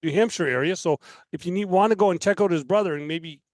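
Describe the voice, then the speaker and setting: Canadian podcast guest, a guy with a slightly high voice, casual chat, dry close mic. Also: fast talker, no echo.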